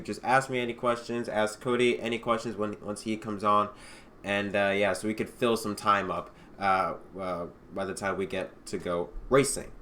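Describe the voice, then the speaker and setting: A man's voice: fairly low pitch, fairly bright tone. A man hosting a sim racing livestream, sounding a bit congested from allergies, chatting casually and lifting his voice for intros. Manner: upbeat announcer, lifts his voice for intros. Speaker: sim racing league host. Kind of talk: casual race pre-show and livestream talk.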